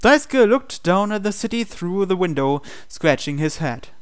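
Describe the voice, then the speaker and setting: A man narrates a visual novel playthrough, reading the script aloud. His voice is clean and smooth and sits slightly higher than most men's. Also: sing-song, normal speed. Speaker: playthrough host reading game text.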